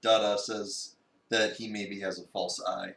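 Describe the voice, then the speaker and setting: A man's voice: on the low side, level tone, unhurried, vocal fry, fairly bright tone. A man co-hosting a stream, chatting casually. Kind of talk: casual chat. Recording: echoey room, distant mic, noisy.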